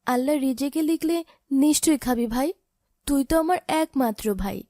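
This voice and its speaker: A woman as a mellow-voiced, sorrowful older sister. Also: high-pitched, a little fast, dry recording, studio quality. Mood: sorrowful, resigned. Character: poor, sorrowful orphaned older sister, caring and resigned. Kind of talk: cartoon drama voice acting.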